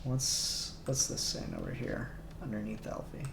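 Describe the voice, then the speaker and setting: A man chatting casually on a livestream, muttering software settings aloud and chuckling as he works. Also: lowish voice, huge pitch swings, a little slow, gravelly, echoey room, a little hiss or hum. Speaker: streamer doing 3D render work.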